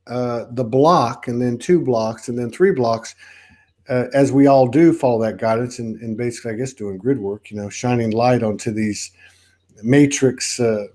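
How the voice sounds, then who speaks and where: A man from Texas with a Texan accent, hosting a casual spiritual interview over a video call and talking in halting, rambling runs full of restarts. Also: mid-range voice, darkish tone. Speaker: podcast host.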